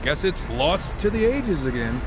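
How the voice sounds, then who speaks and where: A man's voice: on the high side, big pitch swings, measured pace, background noise. An actor playing a cocky, wisecracking horseman in a video game, with a flippant, casual delivery. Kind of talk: video game character acting.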